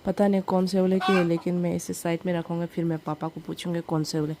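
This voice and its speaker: A woman's voice: fairly low pitch, little pitch movement, fast, dark tone. A woman with a Northeast Indian accent chatting casually and informally to viewers in a home vlog. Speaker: vlogger. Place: village home, indoors and out in the yard. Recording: noisy handheld home vlog recording.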